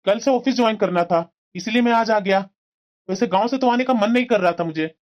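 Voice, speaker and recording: Muffled lo-fi audio, high-pitched man acting as cartoon husband, evasive, irritable, doting uncle. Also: fairly quick, natural voice. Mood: weary. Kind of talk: Hindi moral-story cartoon dialogue.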